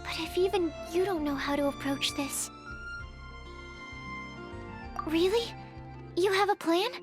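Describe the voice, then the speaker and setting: Shy young female alchemist, very high voice, earnest, bookish, precise, game dialogue, music behind. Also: moderate pace. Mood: tentative. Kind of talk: video game dialogue acting.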